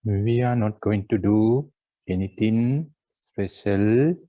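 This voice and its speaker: A man guiding a meditation as a teacher, speaking in short, measured phrases with long pauses between them. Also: on the low side, no echo, lo-fi sound. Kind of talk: guided meditation instruction.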